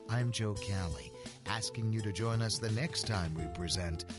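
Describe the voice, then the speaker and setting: A man's voice: fairly low pitch, moderate pace, over music. A man reading scripted credits as a radio drama announcer.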